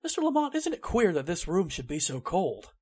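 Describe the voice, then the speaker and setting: Uneasy male office employee, played by a male actor, worried and hesitant, husky edge, old-time radio thriller. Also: sits high, huge pitch swings, a little fast, darkish tone, exaggerated voice. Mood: spooked, uneasy. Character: anxious, uneasy male employee searching his employer's gloomy house.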